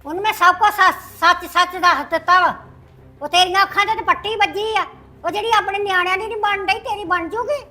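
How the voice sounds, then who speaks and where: A woman playing a high-voiced, plaintive old mother. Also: fairly quick, sharp tone. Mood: scolding. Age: older. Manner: plaintive, imploring. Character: pleading, scolding elderly mother of a wayward son. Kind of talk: dramatic family drama dialogue.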